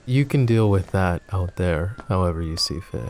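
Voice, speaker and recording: A man playing a suave, possessive mafia boss in an intimate ASMR roleplay, with a smooth, teasing, mock-polite manner. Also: sits low, animated, normal speed, a little mellow, slight background noise. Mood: calm, composed.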